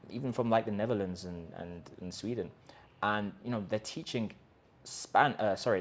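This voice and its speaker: Emphatic man firing rhetorical questions. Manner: emphatic rhetorical questions, restarts, lively sales pitch. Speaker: host of a language-learning channel. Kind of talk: direct-to-camera pitch talk. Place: in Colombia.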